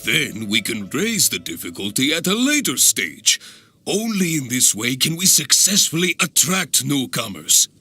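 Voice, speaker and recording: An actor playing an exuberant adventurers' guild examiner in a video game, speaking in a theatrical, emphatic way. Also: male voice, medium pitch, very animated, unhurried, sharp tone, slightly rough, no echo, faint music behind, heavily acted. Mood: pleased, pompous. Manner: theatrical, emphatic, grandly encouraging.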